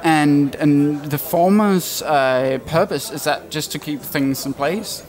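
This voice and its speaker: A man's voice: a little high, takes it slowly, darkish tone. A man in his thirties hosting a conversational interview, asking curious questions and thinking aloud as he goes. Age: 30s. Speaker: interview host. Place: glass-walled booth at a trade show hall. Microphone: headset.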